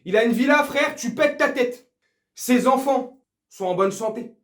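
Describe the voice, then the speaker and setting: A man's voice: very high-pitched, a little slow, a bit bright. A man giving a casual football rant to viewers, crude, slangy, teasing and confrontational. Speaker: football fan video host. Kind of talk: casual ranting commentary to viewers. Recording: echoey room.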